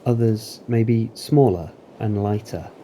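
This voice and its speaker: A man narrating a guided meditation in a calm, deliberate, soothing manner with long pauses. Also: on the low side, a little hiss or hum. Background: waves.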